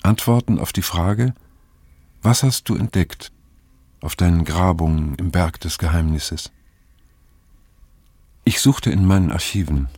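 An actor playing an older, reflective man who narrates his memories in a radio drama, in measured, pensive, fragmentary phrases with a husky, gravelly voice. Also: deep voice, darkish tone, no echo, exaggerated voice. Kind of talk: scripted radio drama narration, introspective monologue.

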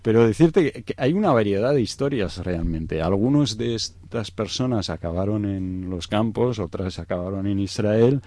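A man's voice: lowish voice, animated, moderate pace, few pauses, mellow, no echo. A middle-aged man from Egypt explaining things in an unscripted interview, hesitant, searching for words and often adding tag questions. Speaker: interview guest.

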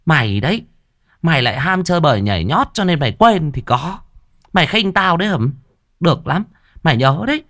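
A man voicing a shrill, scheming middle-aged stepmother who screeches and wails. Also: lively intonation, moderate pace, bright, forceful tone, no echo, clean studio sound, heavily acted. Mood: scolding. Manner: screeching, wailing, scolding.

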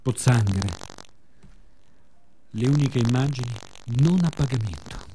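A man telling a story as a radio theatre monologue, expressive, in short phrases with dramatic pauses. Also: mid-range voice, slight background noise. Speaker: author and performer of a monologue.

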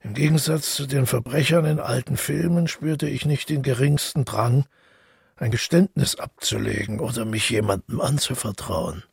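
An actor playing a weary, solitary murderer who calmly recalls his deed in a reflective radio drama monologue. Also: medium pitch, normal speed, no room sound, studio quality. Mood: brooding, matter-of-fact. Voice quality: husky, gravelly.

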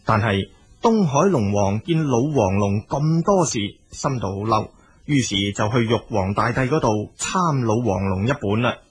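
A man's voice: fairly low pitch, lively intonation, a little slow, husky. A man with a Cantonese accent narrating a scripted folk tale as a storyteller.

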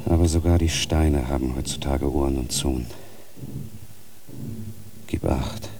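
A grave old teacher monk. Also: male voice, extremely low pitch, steady tone. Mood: warning. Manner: grave, measured, warning. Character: older cautious monk, a grave and wise teacher. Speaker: radio drama actor. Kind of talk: radio drama acting. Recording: distant voice with audible background noise.

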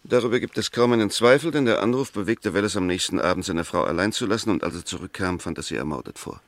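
An actor playing an eloquent, sceptical defence lawyer in a 1960s German radio drama, speaking in formal, rhetorical phrases. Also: male voice, sits low, fast talker, dry recording. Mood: firm, reasoning. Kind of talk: 1960s German radio drama acting, courtroom-style argument.

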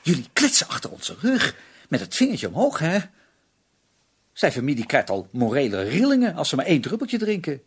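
An actor playing a defensive, irritable middle-aged father with a raspy voice in a radio play. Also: on the high side, wildly swooping pitch, moderate pace, full character voice. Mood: angry, indignant. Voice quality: raspy, husky. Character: defensive, irritable father protecting his wife.

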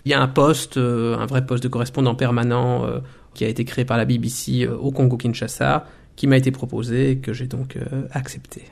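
A man in his forties, a documentary maker and former journalist, telling his story in a radio interview with thoughtful pauses and restarts. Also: mid-range voice, little pitch movement, vocal fry. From Belgium. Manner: thoughtful, many hesitations and restarts.

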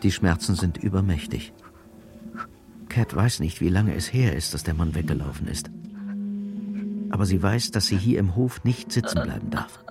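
A man narrating a scripted crime drama in a measured, sober manner. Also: low voice, animated. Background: music.

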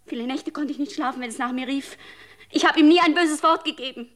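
An actress playing a grieving, honest mother who pleads and protests, moving from hushed whispers to raised insistence. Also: high-pitched, quick pace. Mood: pleading. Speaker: mother at a funeral. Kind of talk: radio drama acting.

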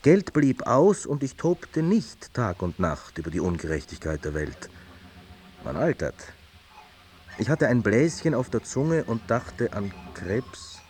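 A man's voice: mid-range voice, big pitch swings, unhurried, a little mellow. A man acting as an aging, disillusioned poet, reciting literary miniatures in a wry, irritable, theatrical way. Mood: wry, resigned. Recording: music under the voice.

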